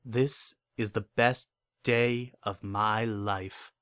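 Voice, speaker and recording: A man's voice: lowish voice, steady tone, extremely slow, fairly bright tone, clean tone. A man playing a lonely, delusional, obsessive narrator in a first-person dramatic story reading, with an earnest and uneasy intensity. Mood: awed wonder. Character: lonely, obsessive, delusional man confiding his private devotion.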